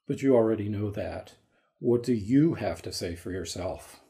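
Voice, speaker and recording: A stern, fatherly god-king acted by a man with a low, gravelly, mellow voice. The lines are grave audio drama dialogue. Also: moderate pace, natural voice. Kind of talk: radio-play story reading.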